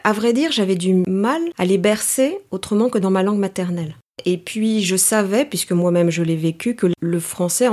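A woman's voice: on the low side, a little mellow. A middle-aged woman from Paris, thoughtful and articulate, answering as a guest in a reflective interview. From Paris, France, in a Greek family. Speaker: interview guest, executive coach.

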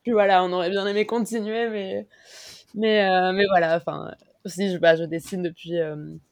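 A woman's voice: fairly low pitch, steady tone, normal speed, no echo, clean studio sound. A woman, a guest on a podcast, talking casually with many hesitations and restarts. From Mexico. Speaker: guest, concert organizer.

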